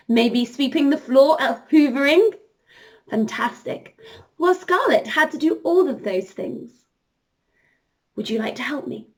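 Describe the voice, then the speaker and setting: A woman telling an interactive story to young children as a princess entertainer, animated and encouraging, speaking directly to them. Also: high voice.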